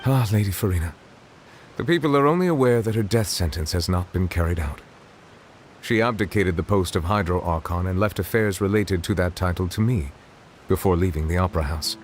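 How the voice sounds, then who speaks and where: A man voicing a solemn, dignified dragon who serves as chief judge in a video game, speaking in a formal, measured, grave manner. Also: deep voice, natural voice. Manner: formal, measured, eloquent, reserved. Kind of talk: video game character dialogue. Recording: game dialogue over background music.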